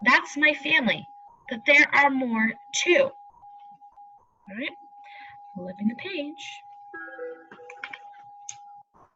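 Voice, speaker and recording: A woman's voice: high-pitched, expressive, slow pace, long pauses, bright, forceful tone, soft background music. A woman teaching young pupils, reading aloud and giving reassuring instructions with frequent checking questions, on a slightly muffled recording. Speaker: elementary school teacher.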